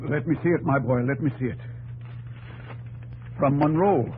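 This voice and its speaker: Actor as grave, resolute president, noisy old radio. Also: male voice, on the high side, a little mellow, close to an everyday voice. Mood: excited, triumphant. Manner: deliberate, emphatic, formal. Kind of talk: 1950s radio drama acting.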